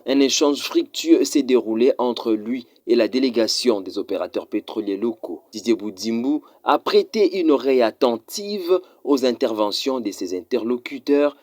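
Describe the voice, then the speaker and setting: A man reading a scripted news report in a formal, measured voice-over. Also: mid-range voice, a little mellow, no room sound, studio quality. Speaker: news narrator.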